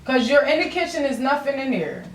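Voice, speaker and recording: Casting: female podcaster, Philly accent, high register, blunt slangy street talk, recorded at a distance with faint noise. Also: sing-song, fairly quick. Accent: Philadelphia. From Philadelphia, USA. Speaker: podcast host and rapper. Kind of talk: casual podcast chat. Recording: roomy echo, distant mic, faint noise.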